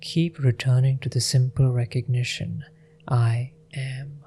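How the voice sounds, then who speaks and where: A man leading a guided meditation in a gentle, unhurried manner with long pauses. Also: mid-range voice, flat delivery, slight background noise.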